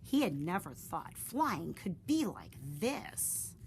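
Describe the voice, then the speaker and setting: Woman narrator for a children's read-aloud; warm storytelling, faint room noise. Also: on the low side, slow, creaky. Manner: expressive, gentle storytelling.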